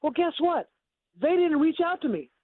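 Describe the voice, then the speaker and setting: A filmmaker calling in to a radio show, speaking casually and repeating himself. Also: male voice, very high-pitched, lively intonation, moderate pace. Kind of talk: phone interview. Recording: tinny phone line.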